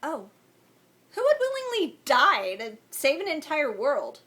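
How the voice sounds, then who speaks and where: A woman's voice: high voice, huge pitch swings, unhurried, a bit bright, pitched above the performer's own voice, heavily acted. A woman voicing a frightened, desperate teenage girl in a storybook reading, pleading and shaky.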